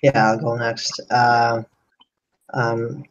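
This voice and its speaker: A man's voice: mid-range voice, completely flat delivery, very slow, slight background noise. A man in his twenties from Russia, an entrant talking hesitantly on a video call. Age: 20s. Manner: hesitant, many fillers. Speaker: contest entrant. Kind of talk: casual video call chat. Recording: dull lo-fi call audio.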